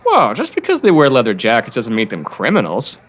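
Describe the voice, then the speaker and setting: A disgruntled high school student played by an actor in an audio drama. The voice is animated, with big pitch swings as the student grumbles. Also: fairly quick. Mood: disgusted. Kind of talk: scripted audio drama acting.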